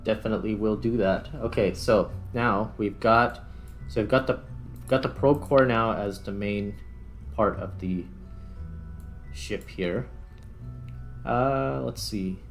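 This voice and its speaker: A man talks through a game casually, musing to himself as he goes. His delivery is slow and halting, with plenty of fry in the voice. Faint music plays behind him. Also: lowish voice. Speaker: gaming video host. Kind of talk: casual gameplay commentary, thinking aloud.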